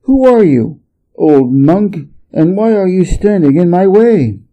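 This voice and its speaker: A man's voice: high voice, lively intonation, slow pace, muffled recording, voice pushed up. A man voicing a cocky, boastful monkey king in a storybook reading, brash and indignant. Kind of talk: storybook character voice.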